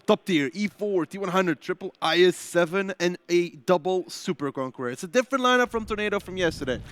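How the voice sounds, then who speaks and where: A man calling a live esports match, with quick teasing banter and brisk play calls. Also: sits high, a bit bright, no room sound.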